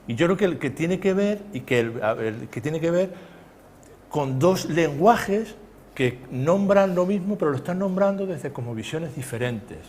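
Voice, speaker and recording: A man's voice: a little high, lively intonation, measured pace, darkish tone, slight background noise. Hesitant man giving a talk. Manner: hesitant, many fillers and restarts. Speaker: conference speaker. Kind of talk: lecture and Q&A answers.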